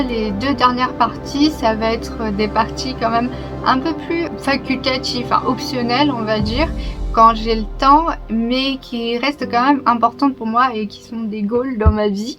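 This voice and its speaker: A young woman with a fairly high voice speaks straight to camera in a relaxed personal vlog. She talks in a reflective, candid way, pausing on small fillers and breaking into light laughs. Background music plays clearly underneath her. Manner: reflective, open, with soft laughs and hesitations. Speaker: YouTuber. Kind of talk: casual personal vlog talk.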